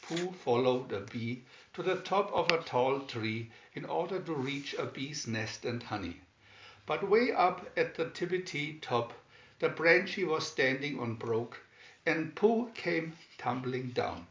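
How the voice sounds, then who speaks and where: Male narrator for children's picture stories. Unhurried, very expressive delivery; dull-sounding home recording. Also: fairly high pitch, echoey room. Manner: deliberate, with emphatic swoops on key words. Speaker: storybook reader. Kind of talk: scripted children's story narration, read aloud slowly and expressively.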